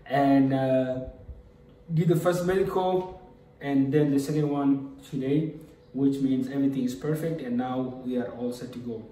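A man's voice: mid-range voice, steady tone, a little slow, warm tone, far from the mic. A young man from South Sudan telling a personal story to camera in a loose, rambling way, with frequent fillers. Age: young adult. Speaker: vlogger. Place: small room indoors. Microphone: camera at desk distance. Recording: echoey room, mic set back.

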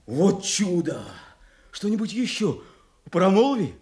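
A loyal young man in disguise in a radio tragedy. His voice swings widely in pitch, coaxing and tender with an anxious, theatrical edge. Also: big pitch swings, takes it slowly, darkish tone, full character voice. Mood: feigned amazement. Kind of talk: classical stage tragedy for radio.

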